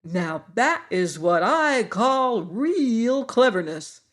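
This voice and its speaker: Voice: mid-range voice, big pitch swings, measured pace, pitched above the performer's own voice. A reader voicing a cheerful male scarecrow who is proud of his brains. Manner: proud and approving.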